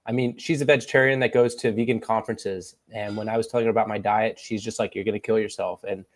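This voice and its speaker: Fast-talking man in his thirties. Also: on the low side. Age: 30s. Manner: earnest, quick and animated, acts out quoted voices. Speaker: podcast guest. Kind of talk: casual panel chat.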